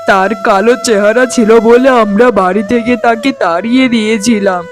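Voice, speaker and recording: A man voicing a lovestruck, henpecked and regretful young village husband in a cartoon, with music behind him. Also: high voice. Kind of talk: animated cartoon dialogue.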